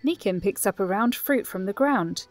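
Female narrator for audio drama: scripted storytelling, medium pitch, faint music behind. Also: animated, normal speed.

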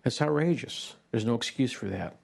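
A man speaking up to support his wife, indignant and halting in a public comment. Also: medium pitch, expressive, gravelly. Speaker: parent's husband giving public comment.

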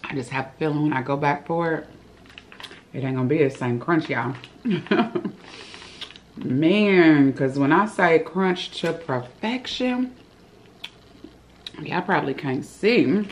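A woman's voice: low-pitched, lively intonation, slow, long pauses, a little hiss or hum. A woman in her thirties chatting casually to her viewers while eating at home, calling them y'all. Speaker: vlogger. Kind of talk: casual eating vlog chat. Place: home living room, indoors.

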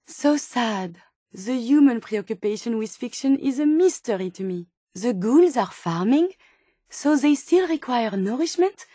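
A polite female robot scientist from a video game, speaks in a high, dry-recorded voice. She is earnest and naive, choosing formal, precise words and full of curiosity about the world. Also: expressive, measured pace, mellow, dry recording. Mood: saddened. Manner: formal, precise wording with wide-eyed wonder. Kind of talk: scripted game companion dialogue.

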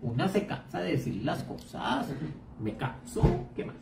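A woman's voice: extremely low pitch, big pitch swings, unhurried, vocal fry, noisy background. A woman with a Mexican accent, a psychic giving blunt, outspoken advice in a casual live chat. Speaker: psychic and tarot reader. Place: home room, indoors. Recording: echoey room, far from mic.

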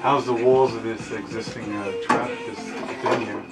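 A man's voice: on the low side, fairly even intonation, measured pace, over loud music. A man chatting casually from off camera. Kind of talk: casual chat.

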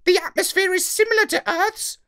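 A man voicing an excitable talking parrot in a children's storybook, in a thin falsetto with precise, exclamatory delivery. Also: brisk, heavily acted. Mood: amazed.

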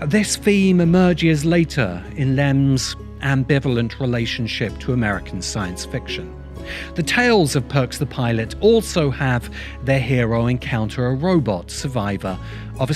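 A middle-aged man presents a scripted video essay in a medium-pitched voice. His intonation moves widely, and he leans on key words with deliberate pauses. He is close to the mic, with music underneath. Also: lively intonation. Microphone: close desk microphone.

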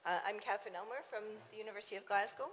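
A woman's voice: mid-range voice, very fast, a bit bright, a little hiss or hum. Canadian woman lecturing in a reverberant hall. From Toronto, Canada. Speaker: scientist presenting at a conference. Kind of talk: academic conference talk. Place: large dark conference auditorium with audience. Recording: reverberant auditorium, long hall echo.

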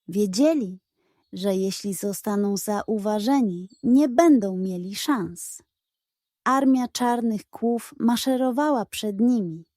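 A woman narrating a scripted children's bedtime story in a measured, dramatic way. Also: on the high side, dark tone, no room sound, clean studio sound.

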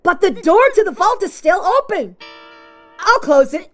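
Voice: extremely high pitch, sing-song, unhurried, a bit bright, in a large hall, heavily acted. An actor voicing an earnest young adventurer in a children's cartoon, with faint music behind. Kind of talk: animated children's cartoon acting.

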